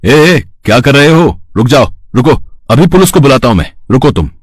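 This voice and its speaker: A man playing a lazy, greedy village flower seller, theatrical and excitable with a husky voice, in a children's moral story. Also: mid-range voice, brisk, no room sound, studio quality. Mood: alarmed, shouting. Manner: theatrical, stammering when startled, exclaiming. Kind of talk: animated moral story dialogue.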